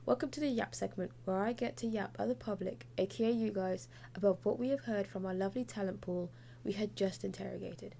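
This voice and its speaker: Young woman host, gravelly voice. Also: medium pitch, steady tone, a little fast, few pauses, warm tone, a little hiss or hum. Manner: chatty and informal. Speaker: podcast host. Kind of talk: scripted podcast narration.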